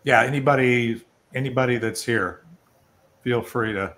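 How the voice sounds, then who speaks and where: A man's voice: medium pitch, normal speed, fairly bright tone. An older man hosting an online networking event, talking informally and rambling a little as he presents and takes questions. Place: online video call.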